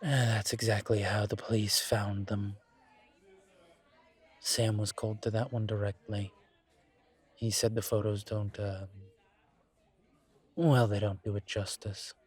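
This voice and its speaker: A man playing a composed, wry incubus investigator in a scripted roleplay audio drama, speaking in a measured, deliberate, conversational way. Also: on the low side, little pitch movement, husky. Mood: serious, measured. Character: composed, wry male incubus demon investigator, politely self-assured.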